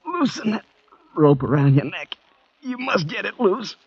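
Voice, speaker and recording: An actor playing a desperate condemned man in an old radio drama, raspy and strained as he urges himself on. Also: sits high, big pitch swings, measured pace, very noisy, heavily acted. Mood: desperate, urging himself. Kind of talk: 1940s-50s radio acting. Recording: dull vintage radio recording.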